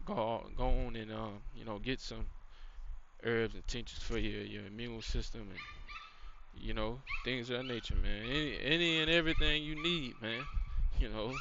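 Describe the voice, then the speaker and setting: A man's voice: medium pitch, steady tone, measured pace, muffled recording, background noise. A man giving a casual faith-based lesson, rambling and loose, dropping "you know" and "man" throughout. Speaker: channel host giving a faith-based teaching.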